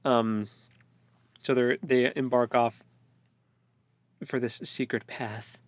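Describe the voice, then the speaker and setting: Male podcast co-host, informal book recap, average pitch, dry close recording, loose and jokey. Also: fairly even intonation, slow, no echo. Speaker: co-host of a book read-along show. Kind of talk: casual chat, recapping a fantasy novel.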